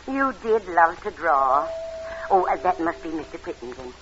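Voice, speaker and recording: Fond, fluttery older aunt, muffled radio. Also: female voice, on the low side, animated, measured pace, noisy background. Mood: fond, chatty. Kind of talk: 1940s radio drama acting.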